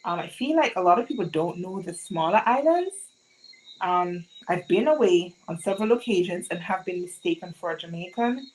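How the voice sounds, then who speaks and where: A woman's voice: on the low side, lively intonation, moderate pace, a little mellow, rough recording. A young woman from Montserrat with a Montserratian accent, chatting with viewers and asking them questions during a sewing livestream. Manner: chatty, asks the chat many questions. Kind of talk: live-stream chat with viewers.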